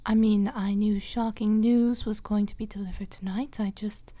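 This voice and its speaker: Woman playing a soft, half-whispering dramatic vampire lady. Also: medium pitch, steady tone, normal speed, close to an everyday voice. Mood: flustered, embarrassed. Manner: theatrical, hesitant, endearments. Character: dramatic, flustered, affectionate female vampire companion. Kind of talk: ASMR fantasy roleplay.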